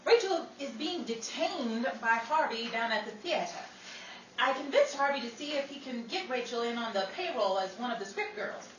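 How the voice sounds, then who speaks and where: A woman's voice: a little high, animated, moderate pace, natural voice. A woman playing a sassy, flamboyant Broadway showgirl in a 1930s-style radio comedy, slangy and theatrical. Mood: wisecracking. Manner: theatrical, slangy, mock-indignant. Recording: roomy, distant, noisy recording with crowd.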